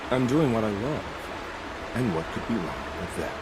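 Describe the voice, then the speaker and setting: An actor playing a cocky ex-soldier mercenary in a video game, who savors killing for sport in a smug, theatrical way. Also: male voice, lowish voice, lively intonation, moderate pace, heavy background noise, natural voice. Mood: smug, bloodthirsty. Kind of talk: video game character acting.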